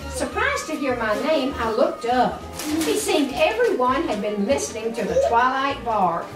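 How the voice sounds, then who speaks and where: A woman's voice: high voice, expressive, normal speed. An older woman reads a storybook aloud to a small child, expressively and with little explanatory asides. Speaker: grandmother reading to a child. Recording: roomy, distant mic, music behind. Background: music.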